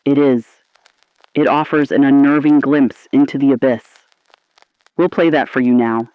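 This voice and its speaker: A man playing an ominous, appalled 1950s radio anchor, delivering scripted lines in a grave, deliberate, theatrical style. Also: medium pitch, steady tone, no echo, phone-quality sound, natural voice. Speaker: radio host.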